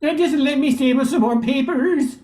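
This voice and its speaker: A woman's voice: high voice, normal speed, mellow, natural voice. A woman playing an anxious, flustered young man who is new at the office, in a radio comedy. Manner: nervous, flustered. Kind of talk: old-time radio comedy acting.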